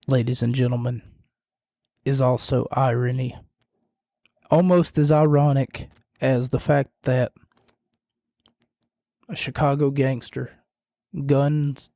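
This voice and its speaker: A man hosting a solo podcast, rambling and self-deprecating, his voice going hoarse and quiet. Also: medium pitch, dry recording, clean studio sound. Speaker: podcast host. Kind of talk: casual solo podcast recap.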